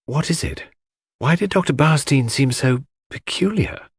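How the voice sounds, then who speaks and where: A man's voice: on the high side, lively intonation, normal speed, husky, no echo, studio quality, pitched above the performer's own voice. A man voicing a frightened, distraught woman in an urgent, exclaiming manner for a dramatic audiobook mystery. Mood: anxious, shocked. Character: frightened, distraught young woman, sharply refusing comfort.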